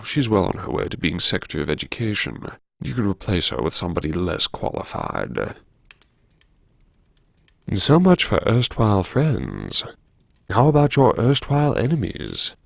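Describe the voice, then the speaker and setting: A man playing a wry, self-mocking university vice-chancellor narrating his memoirs in a gravelly, husky voice, savouring his words with arch, dramatic pauses. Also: low voice, dry recording, clean studio sound, exaggerated voice. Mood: sardonic. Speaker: narrator of a serialised audio story. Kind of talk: theatrical first-person audiobook narration.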